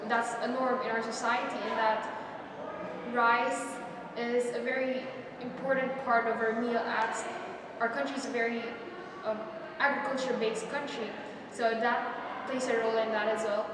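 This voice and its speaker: A young woman speaks earnestly and fluently. Her voice is a bit high and rings with long hall reverb. Background noise runs underneath. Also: flat delivery, normal speed. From Philippines. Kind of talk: explaining food culture. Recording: echoey hall, distant mic, noisy.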